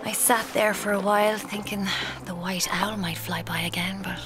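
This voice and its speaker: An actress playing a cold woman in her twenties, narrating her confession in a clipped, deliberate way in a radio drama. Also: medium pitch, steady tone, over music, close to an everyday voice. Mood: cold, intense. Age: 20s. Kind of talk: scripted first-person drama narration.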